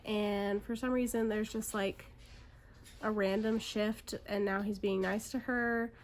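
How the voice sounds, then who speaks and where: A woman's voice: mid-range voice, steady tone, measured pace, slight background noise. A woman book vlogger talking casually and reading book blurbs aloud, a little congested in parts. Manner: chatty, upbeat, filler-heavy.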